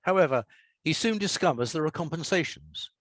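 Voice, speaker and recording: An older man with an English accent, talking reflectively and reading aloud as an author in a measured way. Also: on the high side, expressive, no echo. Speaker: author and radio scriptwriter. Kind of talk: relaxed talk and reading aloud from own story.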